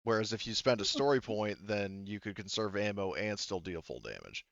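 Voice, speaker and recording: A man's voice: on the low side, expressive, moderate pace, a bit bright, no room sound. A man acting as game master in a casual tabletop game, thinking aloud and explaining rules in detail. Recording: dull, slightly muffled close recording.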